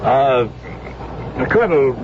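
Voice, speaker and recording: An actor playing a suave, scheming gentleman with polite, insinuating and hesitant phrasing in a 1970s radio drama. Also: medium pitch, big pitch swings, slow. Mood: falsely reluctant. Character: suave, scheming gentleman posing as a concerned friend. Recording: very noisy, dull vintage radio recording.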